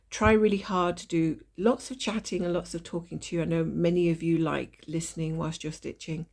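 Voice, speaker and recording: A woman chatting casually as she stitches at her desk, her voice a little nasal and hoarse from a lingering cold, with soft laughs and apologetic asides. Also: lowish voice. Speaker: cross-stitch vlogger. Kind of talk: casual stitch-along chat. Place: at a desk indoors.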